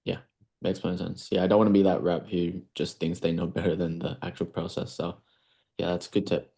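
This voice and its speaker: A man's voice: low-pitched, level tone, a little fast, vocal fry, warm tone. A man speaking informally as a coaching client, asking questions in a tentative, agreeable way. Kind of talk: casual question on a coaching call.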